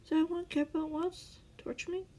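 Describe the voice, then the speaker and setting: A woman voicing a calm, whimsical male hermit who talks in a light, teasing and mild way. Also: very high voice, normal speed, pitched above the performer's own voice. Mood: uneasy.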